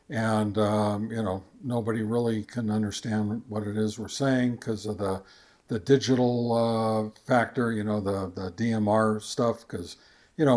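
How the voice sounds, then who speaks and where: A man hosting a casual how-to video, talking informally and somewhat haltingly as he explains each step. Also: on the low side, darkish tone. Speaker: host of a ham radio how-to channel. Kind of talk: casual tutorial narration.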